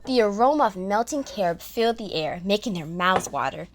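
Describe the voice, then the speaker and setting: A woman's voice: medium pitch, lively intonation, brisk, background noise. A woman reading scripted narration for a children's audio story.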